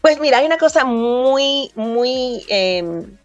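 A woman born in Cuba, in her fifties, speaks in a slightly high voice over a video call. She talks in an animated way and gestures as she tells stories. The sound is close and dry, with faint music behind her. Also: takes it slowly, dry recording, dull audio. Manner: animated, gestures a lot while talking. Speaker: voice actor guest. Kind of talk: remote interview, storytelling.

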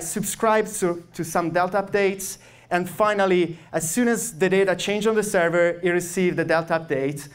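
A young man with an Italian accent, speaking English as a second language, gives a meetup tech talk into a handheld microphone, halting with many fillers and restarts. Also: sits high, clear, resonant tone. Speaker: software engineer giving a meetup talk. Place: meetup room with projector, audience.

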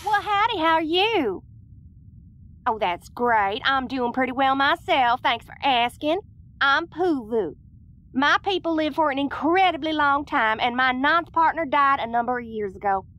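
A woman's voice: high voice, expressive, measured pace, fairly bright tone, highs cut off. A woman playing a folksy, chatty alien widow and business boss with a Southern US accent, in an audio roleplay. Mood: friendly, flirty. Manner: folksy, chatty, self-assured.

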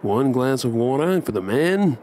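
An Australian man performing an ASMR bedtime roleplay as an affectionate, cheeky young husband and dad, coaxing and hushed. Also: mid-range voice, lively intonation, moderate pace, slight background noise. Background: ambient sound effects.

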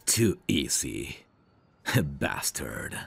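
A man playing a protective, self-assured, flirtatious mafia heir in an ASMR roleplay, commanding and smooth-talking. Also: rumbling low, big pitch swings, drawn-out words, a bit bright, exaggerated voice. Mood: tender, flirtatious.

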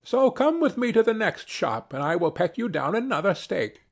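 A man's voice: sits high, very animated, moderate pace, creaky, pitched above the performer's own voice, exaggerated voice. A man voicing a small female sparrow in a storybook, bold, loyal and vengeful, with an emphatic delivery. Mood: helpful, brisk.

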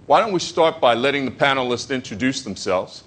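A man leads a panel discussion in a hotel meeting room, talking easily and laying out the questions with examples. His voice sits at an ordinary middle pitch and is picked up from a distance in the room. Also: expressive, a little fast, fairly bright tone. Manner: fluent, conversational, frames topics with examples. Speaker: panel moderator. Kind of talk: conference panel moderation. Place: hotel conference room, standing before a seated audience.

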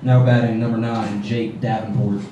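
A booming, formal ballpark announcer, as a teenage man puts him on, calls each name in a level, monotone delivery. The sound is distant and echoey, and noise hangs around it. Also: moderate pace, lo-fi sound, natural voice. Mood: announcing. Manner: flat, formal, loud announcing. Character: booming deep-voiced male ballpark announcer. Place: press box at an outdoor baseball field. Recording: echoey, distant, noisy camera audio.

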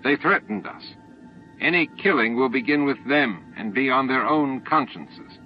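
A measured, falsely regretful alien commander, voiced by a male audiobook reader, speaks formally to his hive. The recording is muffled, with faint music behind. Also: medium pitch, lively intonation, pitched above the performer's own voice, natural voice. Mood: firm, solemn. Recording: dull lo-fi tape audio with music.

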